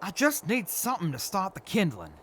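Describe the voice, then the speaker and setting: A man's voice: high-pitched, wildly swooping pitch, brisk, noisy background. A man playing a helpful, cautious survivor in an audio drama. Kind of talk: post-apocalyptic audio drama acting.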